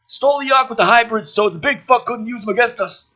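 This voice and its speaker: A man's voice: very high-pitched, fast, sharp tone, pitched above the performer's own voice, full character voice. A man voicing a smug, chatty, foul-mouthed government operative in a mocking script read, with flippant, exaggerated emphasis.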